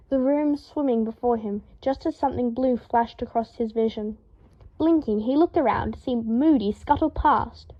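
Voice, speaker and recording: Woman narrator, fan fiction reading, higher voice, muffled lo-fi sound. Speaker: podfic reader. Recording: dull lo-fi home recording.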